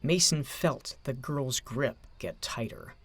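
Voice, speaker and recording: Man, scripted story narration, wide-ranging expressive pitch, gravelly fry, dramatic. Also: slow.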